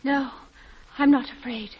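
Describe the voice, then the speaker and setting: High-voiced innocent young daughter. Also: female voice, fairly even intonation, a little slow, husky. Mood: meek. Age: young adult. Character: naive, spirited young daughter. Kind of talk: 1940s radio acting. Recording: dull vintage 1940s radio recording.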